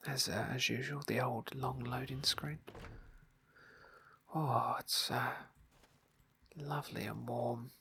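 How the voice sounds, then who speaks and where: A man hosts an ASMR roleplay playthrough of a fantasy game. His voice is very breathy and whispery, with creaky fry and a bright, sharp edge. He talks softly and hesitantly, in polite, wordy asides, close to the microphone. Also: mid-range voice, fairly even intonation, slow, pauses often. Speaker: host narrating a game playthrough.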